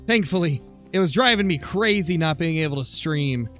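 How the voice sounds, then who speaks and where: A man with a somewhat high-pitched voice talks casually on a gaming livestream. He thinks aloud in a conversational way while replying to viewers. Faint music plays behind him. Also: animated, normal speed, fairly bright tone. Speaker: live streamer, gamer. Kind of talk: casual livestream chat over gameplay, answering viewer comments.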